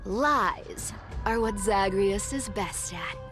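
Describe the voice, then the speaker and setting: A woman voicing a sly, teasing young trickster demigod and treasure hunter in an anime-style game, with a playful, drawn-out delivery. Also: mid-range voice, expressive, fairly bright tone. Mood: sly explaining. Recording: music behind the voice.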